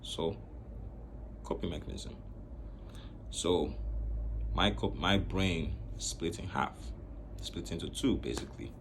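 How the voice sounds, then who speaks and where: A young man from Nigeria speaking to camera in a slow, reflective way, pausing often between phrases. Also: sits low, background noise. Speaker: vlogger. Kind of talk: personal talk to camera.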